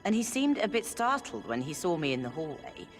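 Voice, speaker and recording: A sharp-tongued sister. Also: female voice, low-pitched, very animated, fairly quick. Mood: impatient. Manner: crisp, gossipy, impatient. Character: brisk upper-class British woman, sharp-tongued sister. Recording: game audio with music behind.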